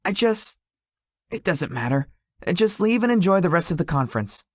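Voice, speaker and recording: A man playing a lazy, sweet-toothed young tech company founder in a visual novel, speaking in an awkward, low-key, hesitant way. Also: sing-song, moderate pace, no room sound, studio quality. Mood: awkward, tired. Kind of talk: visual novel character dialogue.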